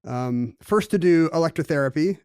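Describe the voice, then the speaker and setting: Man, podcast co-host, wry and quick, fairly high pitch, dry studio sound. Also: expressive, a little mellow, clear, resonant tone, dry recording. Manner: quick, wry, explaining facts. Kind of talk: casual chat.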